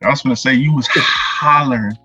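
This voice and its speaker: A man co-hosts a relaxed podcast chat, easygoing and agreeable. His voice is a little breathy. The recording is dry and slightly muffled. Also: mid-range voice, fairly quick, no room sound, soft background music. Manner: laid-back, agreeable. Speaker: podcast co-host.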